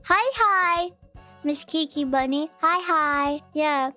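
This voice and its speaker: A woman chatting casually on her live stream, with a cute, high voice, rambling and giggling through restarts and fillers. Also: slow pace, pushed and bright. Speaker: VTuber streamer. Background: faint background music.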